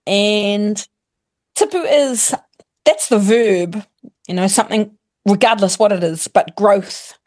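A middle-aged woman with a New Zealand accent talks like a teacher, laying out examples one after another. She pauses to rephrase and restart, steady and friendly. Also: medium pitch. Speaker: guest, primary school teacher. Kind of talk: casual explanatory chat, mixing Māori and English.

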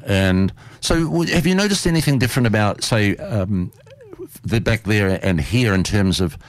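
Low-voiced New Zealand man hosting. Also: lively intonation, moderate pace, dry recording. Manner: rambling, hesitant questions full of fillers. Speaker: host. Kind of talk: panel discussion.

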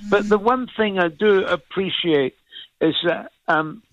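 A man in his seventies with a Scottish accent, calling in to a talk radio show, speaking earnestly and haltingly. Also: high-pitched, dry recording, muffled recording. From Scotland. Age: 70s. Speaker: caller.

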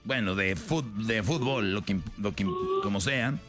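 A man's voice: lowish voice, sing-song, unhurried, music in the background. A man co-hosting a radio game show with teasing, playful banter and announcements.

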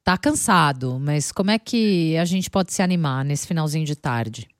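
A woman's voice: deep voice, lively intonation, moderate pace, dry recording, clean studio sound. A Brazilian woman co-hosting a radio show, chatting casually and teasing her co-host. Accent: Brazilian Portuguese. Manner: teasing, laughs easily.

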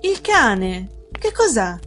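A woman reader voicing a keen, inquisitive male detective with a measured, probing manner, in an audiobook story. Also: a little high, sing-song, fairly bright tone, soft background music, full character voice.

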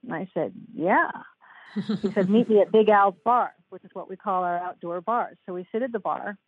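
An older woman chatting frankly and warmly as an interview guest, laughing easily as she talks. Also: medium pitch, normal speed, slightly rough, dry recording. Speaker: guest, author. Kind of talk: casual interview chat. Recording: dry, tinny phone-like line.

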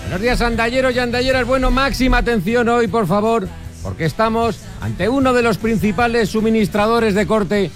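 A man's voice: very high-pitched, brisk. A man with a Spanish accent hosting a radio show with mocking, exclamatory comic commentary full of tag questions. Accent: Spain. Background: faint music.

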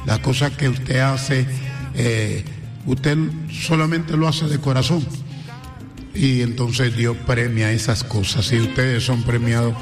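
A man's voice: medium pitch, fairly even intonation, slow pace, faint music behind. A man with a Dominican accent speaking as a guest singer in a radio studio, earnest and grateful, dwelling on blessings. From Dominican Republic. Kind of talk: radio interview.

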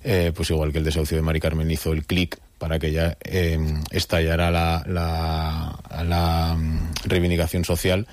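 A man's voice: a bass voice, little pitch movement, moderate pace, few pauses, a little mellow. A man as a radio interview guest, thinking aloud hesitantly with many fillers. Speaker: neighborhood federation president.

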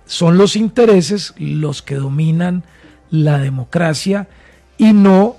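A man's voice: on the high side, animated, takes it slowly, warm tone, soft background music. A man from Colombia with a Colombian accent, a former minister arguing as a candidate in a debate, emphatic and repetitive. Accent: Colombian Spanish. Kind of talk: political debate.

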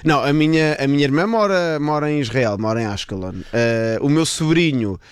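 A man in his thirties from Portugal chats as a guest author. He talks thoughtfully, with fillers and restarts. His voice is smooth and resonant, recorded dry and close. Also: on the high side, fairly quick, no echo. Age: 30s. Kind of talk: casual interview chat.

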